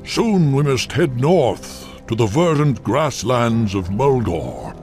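Big old minotaur chief for a video game, proud n solemn, slow talker, faint music behind. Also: male voice, medium pitch, lively intonation, darkish tone. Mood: grave, respectful. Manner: slow, solemn, formal speech. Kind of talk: fantasy video game acting.

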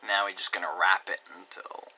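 A man teaching a hands-on electronics project at a desk, talking casually step by step and trailing off quietly as he works. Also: low voice, little pitch movement, fairly quick, slight background noise. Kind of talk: hands-on DIY tutorial narration. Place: indoor desk workbench.

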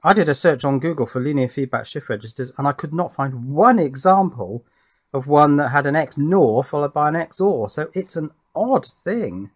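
A man's voice: a little high, lively intonation, moderate pace, breathy edge. A man giving an unscripted technical explanation in a musing, methodical way, as the presenter of an electronics tutorial. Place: indoors at a workbench.

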